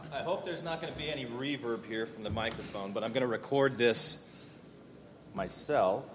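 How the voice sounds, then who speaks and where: A man's voice: mid-range voice, darkish tone, in a large hall, a little hiss or hum. A man giving a casual conference talk, rambling with hesitant fillers and restarts in a self-deprecating way. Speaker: conference presenter. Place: conference room.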